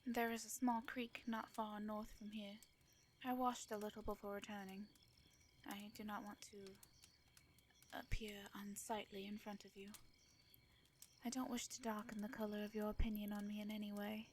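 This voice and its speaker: A woman playing a shy, formal vampire in a roleplay audio drama, with an English accent, a soft breathy voice and hesitant, flustered phrasing. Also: mid-range voice, little pitch movement, normal speed, a little hiss or hum, natural voice. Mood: polite, reserved.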